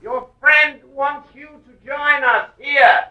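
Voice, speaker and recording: A man playing a menacing, formal villain in a 1940s radio drama, calling out his demands deliberately. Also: extremely high pitch, steady tone, bright, forceful tone, full character voice. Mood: coldly threatening. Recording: echoing, distant from the microphone.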